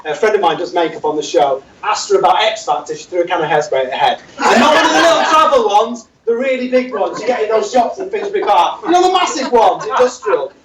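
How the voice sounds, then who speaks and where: A man's voice: sits high, sing-song, fast talker, hardly any pauses. A man playing a gossipy, teasing manager who laughs through his lines. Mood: joking. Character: showy joking male boss, gossipy and cheeky. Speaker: health and safety manager. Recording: roomy, distant, dull, noisy hall.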